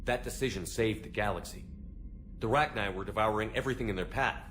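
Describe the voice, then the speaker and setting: A man's voice: fairly low pitch, brisk, a bit bright, faint music behind, close to an everyday voice. A man playing a direct, businesslike military starship commander in a video game. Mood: firm.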